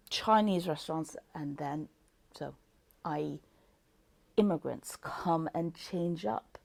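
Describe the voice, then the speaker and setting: A woman with a Norwegian accent, a native Norwegian speaker, reviewing a book in a casual, thoughtful way and often pausing to search for words. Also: on the low side, vocal fry, warm tone, dry recording. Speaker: book vlogger.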